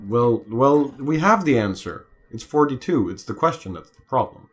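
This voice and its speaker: A man's voice: medium pitch, expressive, normal speed, faint music behind. A man giving casual, joking commentary on a video game as a let's play commentator.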